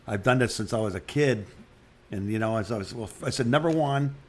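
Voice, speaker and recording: A man's voice: on the low side, a little fast. Rambling older man chatting casually. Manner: rambling, repeats phrases and restarts sentences. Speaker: podcast co-host, retired radio broadcaster. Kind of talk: casual chat, telling anecdotes.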